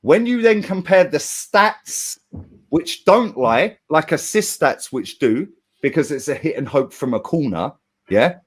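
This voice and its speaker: A man's voice: on the high side, expressive, unhurried, slightly rough. A man, arguing heatedly about football and swearing as he talks over others. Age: 30s. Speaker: fan panelist. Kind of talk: heated argument.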